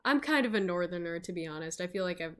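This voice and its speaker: A woman's voice: fairly low pitch, lively intonation, fast talker, clean tone. A woman from Virginia narrating a tabletop game as game master, rambling with frequent fillers and laughing often. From Virginia, USA.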